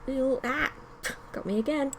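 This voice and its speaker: A woman's voice: sits high, unhurried, noisy background. A woman streaming a game, chattily commenting and theorising aloud as she plays and reads along. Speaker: streamer playing a visual novel. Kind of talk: live game commentary with read-aloud narration.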